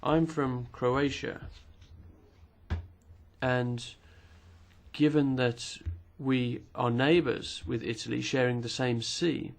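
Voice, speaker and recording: A man simultaneously interpreting speeches at a parliamentary committee hearing, formal and measured, pausing with occasional fillers. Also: medium pitch, steady tone, creaky.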